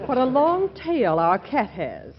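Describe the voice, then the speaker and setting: An actress playing an impatient, lovestruck new bride with an arch, formal way of talking, in a 1940s radio comedy. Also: female voice, a little high, huge pitch swings, slow pace, over loud music, exaggerated voice. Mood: haughty irritation. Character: impatient, lovestruck new bride, haughty toward her rival. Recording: muffled, noisy old radio recording.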